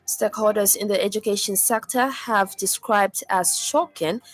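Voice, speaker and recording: A woman with a Zambian accent reads a scripted radio news bulletin in a formal, measured manner. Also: medium pitch, soft background music. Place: radio studio.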